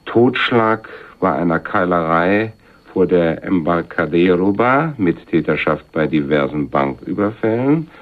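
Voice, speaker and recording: An actor playing a shrewd, wealthy, condescending power broker in a German crime radio play, speaking in a suave, measured way. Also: male voice, low voice, lively intonation. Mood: smug, lecturing. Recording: muffled 1980s radio drama recording.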